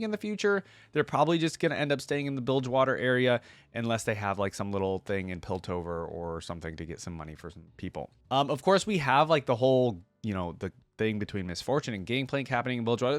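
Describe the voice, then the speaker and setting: A man's voice: medium pitch, no room sound. Casual rambling male reaction host, dry close sound. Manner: rambling, thinking aloud, addresses viewers directly. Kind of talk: casual chatty game-lore commentary to camera.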